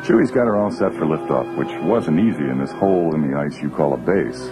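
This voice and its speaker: A man's voice: rumbling low, animated, a little fast. An actor playing a cocky, grumbling male smuggler pilot with a sardonic manner in a 1980s audio drama. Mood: grumbling, sarcastic. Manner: wry, sardonic. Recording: loud music behind, radio drama production.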